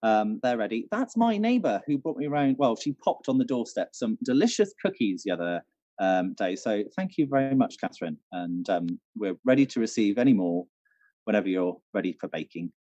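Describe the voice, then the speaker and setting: A British man co-hosts a quiz, moving between announcements and casual chat. His mellow voice swings freely in pitch. The recording is dull and muffled. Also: very animated, a little fast, no echo. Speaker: quiz co-host, venue manager.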